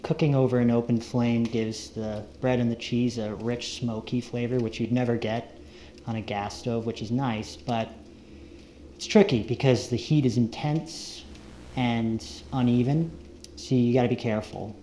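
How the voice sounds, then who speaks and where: A man's voice: medium pitch, steady tone, moderate pace, warm tone. A man talking casually and unhurriedly, as if narrating his own camping and cooking vlog. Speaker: found-footage vlogger, narrator. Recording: roomy echo, faint background noise.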